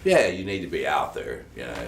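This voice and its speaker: Very deep, gravelly middle-aged man. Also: huge pitch swings, a little fast, a crowd in the background. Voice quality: very low voice. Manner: laid-back, short replies. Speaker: guest, tribute band singer. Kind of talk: casual interview chat. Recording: distant mic in an echoey room with faint background noise.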